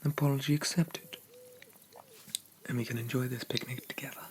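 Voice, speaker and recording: A man playing a haughty, possessive demon prince in an ASMR roleplay, speaking formally and softly, often dropping into a whisper. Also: mid-range voice, steady tone, normal speed, slight background noise, lightly acted. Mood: prideful, veiled threat. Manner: pompous, formal, softly condescending.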